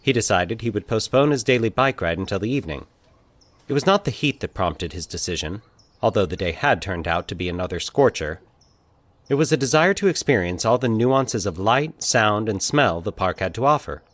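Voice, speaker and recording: Expressive low-voiced male storyteller. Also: a little fast, no room sound. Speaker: narrator. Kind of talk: scripted fiction narration.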